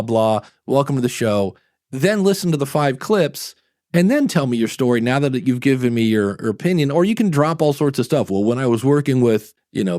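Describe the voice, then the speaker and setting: A man's voice: medium pitch, a little mellow, no room sound, studio quality. A man hosting a podcast, giving his opinions in a casual, rambling way. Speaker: podcast host.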